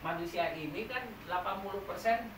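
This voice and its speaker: A man's voice: high-pitched, a little fast, crowd noise. A man, teaching a massage therapy training class and quizzing the students as he explains step by step. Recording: echoey room, noisy, mic far off.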